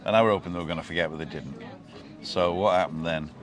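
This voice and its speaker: A man in his sixties with a fairly low voice talks to camera like an old friend. His jokes are dry and teasing, and he tells stories at an easy ramble. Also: fast talker, a crowd in the background. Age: 60s. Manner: joking, teasing storyteller. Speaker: vlog host. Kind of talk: casual chat.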